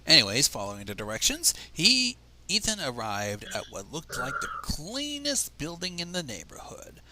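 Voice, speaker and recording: A man's voice: on the high side, wildly swooping pitch, moderate pace, background noise. A man reading a story aloud in a dramatic, wry manner, slipping into casual asides between narrated passages. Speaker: livestream fanfic reader.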